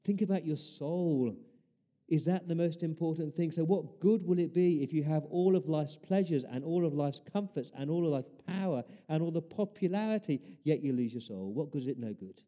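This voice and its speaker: A man's voice: fairly high pitch, normal speed, warm tone. A man preaching a sermon as pastor, earnest, building his points through repeated rhetorical questions.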